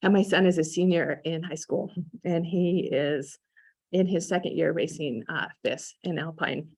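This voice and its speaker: A woman in her forties, a ski development director speaking on a conference panel, talking in a halting way with many fillers and repeated words. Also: low voice, fairly even intonation, a little mellow, breathy edge, dry recording.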